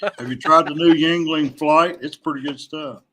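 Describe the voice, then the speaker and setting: A man speaking as a podcast guest in a casual, folksy, halting and plainspoken way. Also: a little high, steady tone, a bit bright, slight background noise. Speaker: podcast guest, veteran angler. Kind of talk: casual chat.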